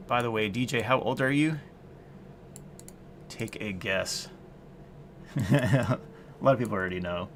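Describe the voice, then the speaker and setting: A man's voice: on the low side, measured pace, long pauses, slight background noise. A man in his late thirties chatting casually on a live stream as he works at the computer, thinking aloud to himself, answering viewers and chuckling often. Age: 30s. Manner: muttering to himself while working, chatting with viewers. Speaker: streamer coding on a livestream. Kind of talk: casual live-stream chat while working.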